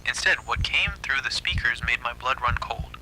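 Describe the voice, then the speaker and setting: A man's voice: medium pitch, normal speed, bright, forceful tone, a little hiss or hum, rough recording. A man narrating a scripted first-person horror story.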